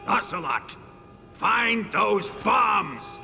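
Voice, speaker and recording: An actor playing a domineering, furious military colonel in a video game cutscene, commanding and menacing, with explosive bellowing. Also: male voice, high voice, animated, drawn-out words, creaky, long reverb tail, exaggerated voice. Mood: barking orders. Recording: loud music under the voice.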